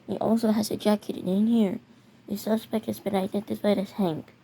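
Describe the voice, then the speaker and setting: Halting, mellow amateur narrator with background noise. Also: medium pitch, level tone, gravelly, low-quality recording. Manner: halting, often repeating words. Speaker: video creator and narrator. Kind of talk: amateur narration of a mock emergency alert scenario video.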